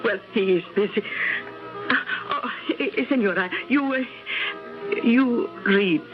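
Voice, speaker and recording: A woman playing a passionate old peasant mother with a Latin American Spanish accent, halting and theatrical, in old-time radio drama style. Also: medium pitch, highs cut off, natural voice. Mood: excited, coy. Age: older. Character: passionate old peasant mother, fiercely loyal, later vengeful. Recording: band-limited old radio recording, music behind.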